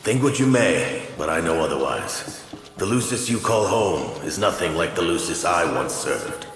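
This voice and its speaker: Ancient warrior, cavernous deep echo. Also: male voice, expressive, normal speed, a bit bright. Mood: scornful. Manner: solemn, archaic, pronouncing. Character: ancient undead male warrior, grim, scornful judge. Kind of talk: video game cutscene acting. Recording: distant voice in echoing hall, music.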